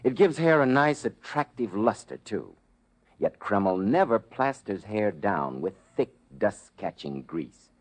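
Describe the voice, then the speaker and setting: A man's voice: on the low side, sing-song, unhurried. A man announcing in polished, chummy 1940s radio salesman style. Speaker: announcer and sponsor pitchman. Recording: vintage 1940s radio recording.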